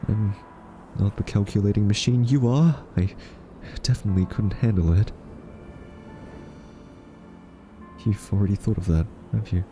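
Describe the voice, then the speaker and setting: Guy playing a nerdy earnest scientist in an audio roleplay, soft warm voice, thoughtful n hesitant, some background hum. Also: fairly low pitch, close to an everyday voice. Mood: wry, wary. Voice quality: soft-spoken. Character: quirky, earnest scientist, captive friend of an evil AI. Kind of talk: soft-spoken roleplay audio drama monologue.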